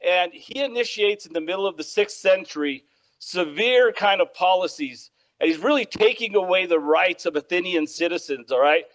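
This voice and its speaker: A man lecturing on history with a loud, projecting voice, often checking in with "all right?". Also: sits high, lively intonation, normal speed, poor audio. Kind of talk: public lecture. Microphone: microphone.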